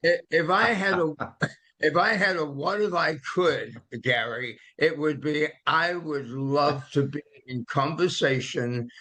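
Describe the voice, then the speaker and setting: A man in his eighties, born in London and raised in Australia, telling stories in an interview in a halting way with many fillers. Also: fairly high pitch, bright, forceful tone. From born in London, grew up in Australia. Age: 80s. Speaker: guest. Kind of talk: reflective interview storytelling.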